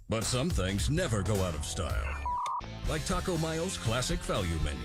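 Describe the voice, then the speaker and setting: A male commercial announcer with a mid-range voice gives an upbeat scripted read. His intonation is animated and swings widely, over a clear music bed. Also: mid-range voice.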